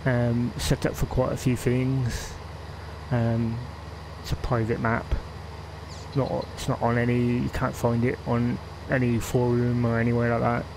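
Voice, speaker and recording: A man's voice: medium pitch, completely flat delivery, unhurried, noisy background. A man with a West Country English accent chatting casually while streaming a game, rambling and laughing often. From England. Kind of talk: casual live-stream chat.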